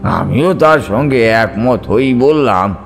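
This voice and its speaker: Male audiobook narrator, unhurried, medium pitch, scripted storytelling, faint music behind. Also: animated, vocal fry. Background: soft background music.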